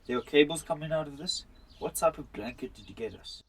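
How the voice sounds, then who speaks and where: A man's voice: a little high, animated, a little fast, a bit bright, husky. A man acting a grumpy, blunt elderly husband in an amateur radio drama. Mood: grumbling.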